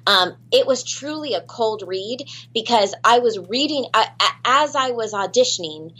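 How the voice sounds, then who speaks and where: A woman's voice: a little high, expressive, normal speed, bright, forceful tone, breathy edge. A woman guest in an interview, chatting casually and laughing at herself, with lots of ums and restarts. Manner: chatty, self-deprecating, many fillers and restarts. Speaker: voice actress guest.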